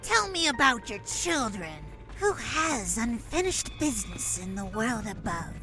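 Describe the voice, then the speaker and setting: A woman's voice: fairly high pitch, very animated, unhurried, pushed and bright, soft background music, heavily acted, rough recording. A woman voicing a sly, taunting fairy villainess in a comic dub, drawling with mock politeness and sweet menace. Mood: coaxing, eerie.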